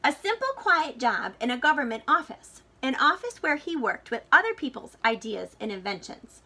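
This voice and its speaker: Animated, bright-voiced woman reading a storybook. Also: high voice, husky. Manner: deliberate, dramatic phrasing for young listeners. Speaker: teacher reading a picture book aloud. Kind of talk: expressive children's storybook read-aloud.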